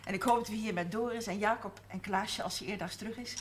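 A woman's voice: medium pitch, fast, lightly acted. An actress playing a bustling, motherly middle-aged woman in a naturalistic 1970s Dutch television drama. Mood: gentle, firm. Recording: noisy old TV soundtrack, clattering dishes.

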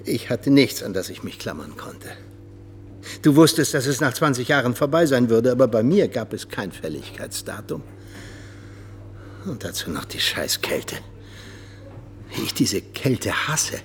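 An actor playing an embittered, world-weary older Soviet ex-agent and mentor in a radio drama, speaking emphatically. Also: male voice, mid-range voice, very animated, measured pace, pauses often, a little hiss or hum. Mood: bitter. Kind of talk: radio drama acting, monologue-like confession.

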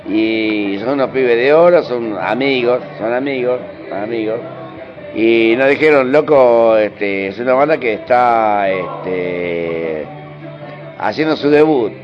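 A man with an Argentine accent answers questions informally, in a mid-pitched voice. His talk is slangy and repeats for emphasis. The sound is a little dull, with faint music behind him. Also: takes it slowly. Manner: colloquial, slangy, emphatic repetition. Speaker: interviewed rock musician. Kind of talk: informal interview. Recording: dull radio interview over music.

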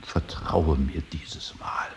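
An actor playing a stern, solemn middle-aged father in a radio drama, insistent and halting in his speech. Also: very deep voice, steady tone, in a whisper, heavily acted. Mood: grave, probing. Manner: solemn, insistent, halting, swinging from hushed to forceful. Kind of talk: 1980s German radio drama acting. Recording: faint tape hiss.

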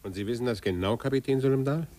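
A man's voice: medium pitch, fast, dark tone, natural voice. An actor playing a terse, calculating businessman, clipped and guarded, in a 1950s radio drama. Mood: guarded, probing.

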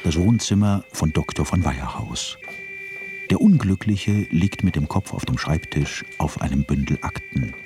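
A man's voice: very deep voice, expressive, measured pace, over music. A man narrating a radio drama in a matter-of-fact, descriptive way.

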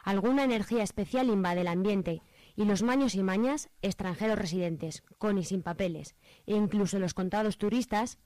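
A woman's voice: medium pitch, moderate pace, darkish tone, no echo. A woman with an accent from Spain narrates a scripted radio drama in a mock-solemn storytelling manner. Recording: light music bed.

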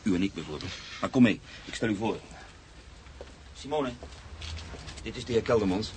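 An actor playing an anxious, brooding expectant father in a Dutch radio drama, speaking in a sombre, measured way. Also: on the low side, lively intonation, darkish tone, a little hiss or hum, natural voice. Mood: uneasy. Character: Freek, brooding anxious man, expectant father, narrating his story.

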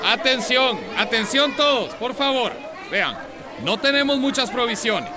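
A man's voice: very high voice, expressive, unhurried. An actor playing a commanding male shelter organiser addressing a group in a radio soap. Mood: rallying. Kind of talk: radio soap opera acting. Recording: crowd murmur behind.

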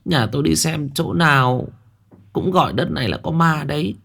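A man's voice: a little high, moderate pace, vocal fry, a bit bright, pitched above the performer's own voice. A man voicing a distraught older village woman pleading in a spoken ghost story. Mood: lamenting.

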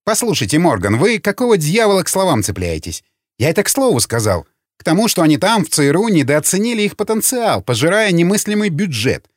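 A man voicing an agitated, indignant minor official in an audiobook, ranting with emphatic, sharp-tongued delivery. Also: a little high, big pitch swings, moderate pace, no echo, clean studio sound, voice pushed up. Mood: defensive, irritated. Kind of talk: audiobook character acting.

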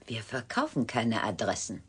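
A woman's voice: extremely low pitch, huge pitch swings, moderate pace, breathy edge, heavily acted. A woman playing a cool, sardonic clinic doctor in a German radio drama, speaking in a clipped, dry, condescending way. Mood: curt, guarded.

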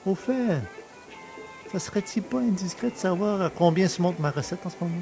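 A male actor plays a cheeky working-class poacher in a radio-style drama. His voice sits a little high, and he talks with familiar, colloquial swagger. Faint music plays behind him. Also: brisk, gravelly, mellow, poor audio. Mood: merry. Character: cheeky, boastful working-class poacher, freed innocent and celebrated. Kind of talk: audio drama acting.

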